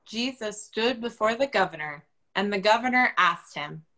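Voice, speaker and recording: A woman's voice: medium pitch, expressive, normal speed, sharp tone, poor audio. A woman giving a dramatic scripture reading as a church reader.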